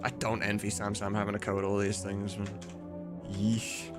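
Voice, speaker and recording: A man's voice: lowish voice, monotone, moderate pace. A man chatting casually as a livestreamer while he works, sometimes muttering distractedly and swearing. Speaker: streamer and game modder. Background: music, computer keyboard typing.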